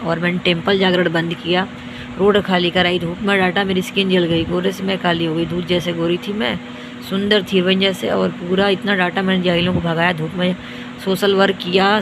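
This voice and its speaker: A young woman from India with a hoarse, worn-out voice rants to her viewers, repeating herself and scolding as she boasts. Her delivery stays flat, with little rise or fall. Traffic noise is clearly heard behind her. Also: on the low side. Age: young adult. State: tired. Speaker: vlogger. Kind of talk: self-filmed rant to viewers.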